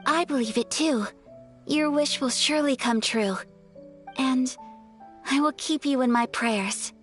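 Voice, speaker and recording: A woman voicing a graceful, earnest young noblewoman in a video game, speaking softly and politely. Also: high-pitched, unhurried. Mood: sincere. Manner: soft, polite, formal. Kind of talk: video game character acting. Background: music.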